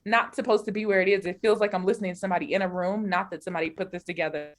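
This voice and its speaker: A woman's voice: mid-range voice, fairly even intonation, rapid-fire. A woman from the United States chatting casually as a guest recommending a podcast. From Denver, United States. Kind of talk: casual conversational chat. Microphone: remote video call recording.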